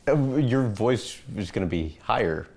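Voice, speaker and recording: A man's voice: fairly low pitch, lively intonation, normal speed, vocal fry, a little mellow. A man hosting an interview, asking short, prompting questions in a conversational tone. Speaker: interviewer host.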